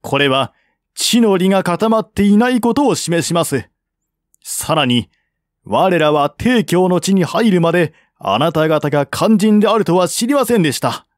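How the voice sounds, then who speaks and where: A man's voice: high-pitched, animated, moderate pace, no echo, clean studio sound. A man reading the part of a courteous, shrewd peace envoy in a historical novel, speaking politely and formally. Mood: earnest, persuading. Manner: polite, formal, reasoning step by step.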